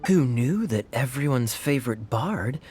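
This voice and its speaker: A suave young knight captain, voiced by a man, speaks with playful, knowing mockery. His voice moves lively up and down as he needles his companions. Faint music sits beneath the game dialogue. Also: mid-range voice, unhurried, natural voice. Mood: teasing. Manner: teasing, wry and playful. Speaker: game character.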